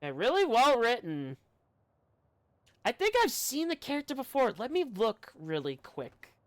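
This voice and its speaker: A man's voice: extremely high pitch, wildly swooping pitch, a little slow, a bit bright. A man giving casual, rambling commentary as a game streamer, often breaking off mid-sentence. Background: faint game music.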